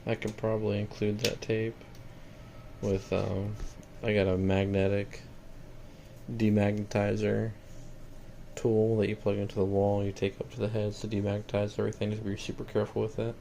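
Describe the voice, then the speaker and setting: A man's voice: on the low side, monotone, normal speed, vocal fry, dark tone. A man giving a casual, matter-of-fact walkthrough of equipment he is selling, plain-spoken, in a noisy home-video recording. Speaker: seller demonstrating equipment.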